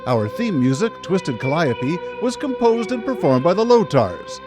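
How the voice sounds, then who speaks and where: A male radio announcer delivers scripted credits with theatrical flair. His voice is fairly high and animated, set over clear music. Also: measured pace. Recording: music under broadcast announcement.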